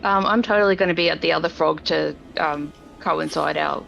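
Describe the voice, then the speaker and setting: A woman's voice: sits low, brisk, gravelly, a bit bright, soft background music. A woman chatting casually as a player in a tabletop game. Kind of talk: casual chat. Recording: dull, lo-fi mic.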